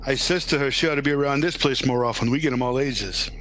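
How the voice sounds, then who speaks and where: A man's voice: medium pitch, fast, a bit bright, close to an everyday voice. A man playing a nervous, reluctant working-class morgue attendant in an old-time radio drama, colloquial and stammering. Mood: businesslike. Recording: noisy, humming background.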